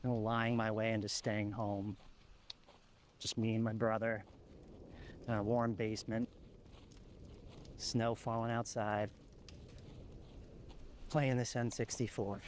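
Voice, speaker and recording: A man's voice: lowish voice, little pitch movement, slow pace, pauses often, a little hiss or hum. A man playing a haunted, reflective lone apocalypse survivor, confiding in a plain-spoken found-footage horror monologue. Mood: uneasy, haunted.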